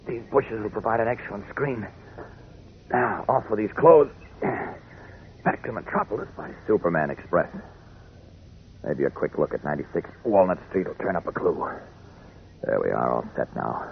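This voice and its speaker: An actor playing a confident, resolute superhero thinking aloud in a declamatory 1940s radio style. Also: male voice, low-pitched, expressive, moderate pace, a little hiss or hum. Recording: dull, gravelly-sounding old radio recording.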